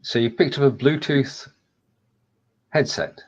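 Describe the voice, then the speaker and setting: A man's voice: mid-range voice, a little slow, breathy edge. Playful Lancashire man on a dull line. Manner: playful, jokey, stammers on restarts. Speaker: co-host. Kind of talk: casual live-stream chat.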